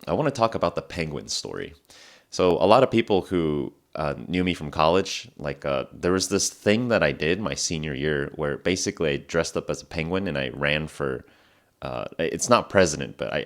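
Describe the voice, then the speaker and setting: A man in his twenties hosting a casual podcast, talking through stories in a relaxed, rambling way, full of fillers and restarts, his voice sounding lower than usual in the morning. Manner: relaxed, rambling, many fillers and self-corrections. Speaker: podcast host. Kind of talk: casual storytelling monologue for English learners.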